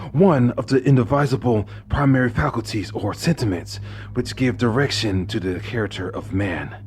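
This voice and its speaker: A man narrating a horror story in the first person, intense, dropping to hushed whispers and rising into anguished outbursts. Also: medium pitch, breathy edge. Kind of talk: dramatic horror story reading.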